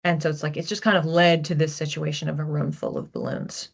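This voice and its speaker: Low-voiced woman musing through a reading. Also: little pitch movement, fairly quick, vocal fry, husky. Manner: hesitant, repeats words while searching for images. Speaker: psychic reader. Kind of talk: intuitive reading, musing aloud. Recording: dull lo-fi recording.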